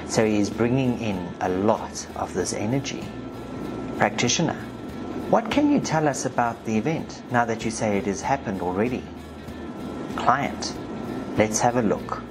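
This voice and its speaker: A woman with a South African accent, hosting a spiritual video and reading session transcripts aloud with emphasis. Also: rumbling low. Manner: emphatic, forceful when reading the client. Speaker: video host, spiritual practitioner. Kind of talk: narration read from session transcripts.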